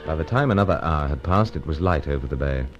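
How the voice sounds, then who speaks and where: An actor playing an unflappable, wry secret agent and narrator in an old-fashioned radio spy serial, with clipped, polite speech. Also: male voice, very deep voice, lively intonation, fast talker, highs cut off, loud music behind the voice. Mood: measured narration. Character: unflappable British secret agent, breezy and dryly cheeky.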